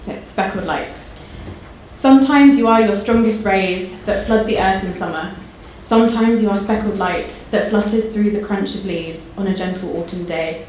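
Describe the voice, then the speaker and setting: A woman's voice: mid-range voice, normal speed, a little mellow. A woman speaking at a live event, giving a personal tribute and reading a poem to an audience. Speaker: guest poetry reader and friend. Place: indoor live launch event. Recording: very echoey hall, faint background noise.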